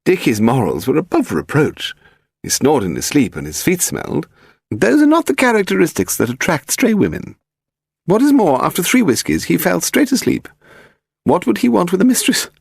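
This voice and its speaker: A man's voice: a little high, huge pitch swings, moderate pace, creaky, breathy edge, dry recording, clean studio sound, pitched above the performer's own voice, heavily acted. A man voicing a haughty, pedantic English aristocrat, a frail lady in her eighties, in an upper-class accent for an audiobook story. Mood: indignant, rambling. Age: 80s. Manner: pedantic, grand, derisive, demanding. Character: imperious frail aristocratic old lady of eighty-five, malicious.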